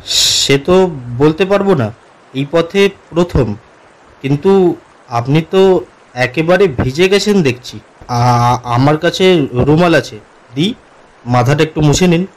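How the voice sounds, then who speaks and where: A man playing an uneasy office commuter who narrates his own horror story in an expressive, atmospheric way for a cartoon. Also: fairly high pitch, slow pace, long pauses, low-quality recording. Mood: friendly, polite. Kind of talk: dramatic first-person horror story narration.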